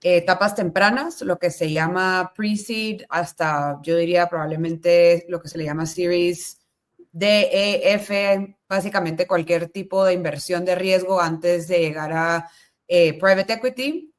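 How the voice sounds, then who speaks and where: Lower-voiced woman from Guatemala. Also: a little slow. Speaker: guest, venture capital entrepreneur. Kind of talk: casual interview talk.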